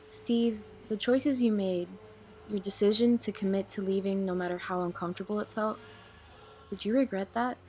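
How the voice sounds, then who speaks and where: A woman's voice: mid-range voice, fairly even intonation, moderate pace, warm tone, faint music behind, close to an everyday voice. An actress playing a sincere young woman, blunt but caring, in an audio drama. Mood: careful, sympathetic. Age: young adult.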